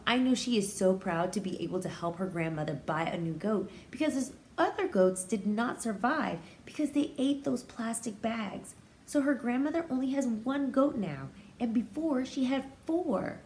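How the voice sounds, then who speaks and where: A woman's voice: medium pitch, a little mellow, slight background noise. A woman teacher doing a picture-book read-aloud, expressively explaining words and feelings in simple terms for young children. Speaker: pre-K teacher reading aloud.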